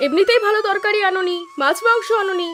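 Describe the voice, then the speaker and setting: A woman's voice: extremely high pitch, quick pace, a bit bright. A woman voicing a nagging, demanding village wife in a cartoon. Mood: angry, scolding. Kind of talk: Bengali cartoon voice acting.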